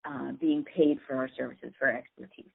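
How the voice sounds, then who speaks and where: A woman's voice: very deep voice, fairly even intonation, fairly quick, vocal fry. Lady cohost w a chest cold losing her voice, casual chat, tinny phone sound. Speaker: co-host, online business coach. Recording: thin, phone-like band-limited sound.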